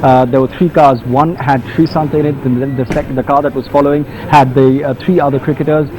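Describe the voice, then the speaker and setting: A man with an Indian English accent reporting live from the field, repeating and stressing points in a halting way. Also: medium pitch, fairly even intonation, darkish tone, rough recording. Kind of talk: live unscripted news report. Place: outdoors on a street outside police premises. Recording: faint outdoor street noise.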